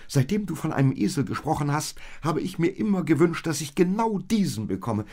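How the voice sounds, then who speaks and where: Man voicing an eager, high-pitched young woman. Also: wildly swooping pitch, unhurried, darkish tone, exaggerated voice. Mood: overjoyed. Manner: gushing, breathy exclamations. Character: young woman Maria, tender, eager, delighted and devoted. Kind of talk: storybook character voice in narrated tale.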